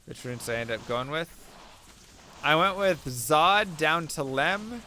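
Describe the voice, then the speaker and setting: A man's voice: fairly high pitch, animated, a little slow, bright, forceful tone, slight background noise. A young man streaming a video game, casually chatting and answering viewers' questions aloud, saying he is losing his voice. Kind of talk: casual chat while playing, answering viewer chat.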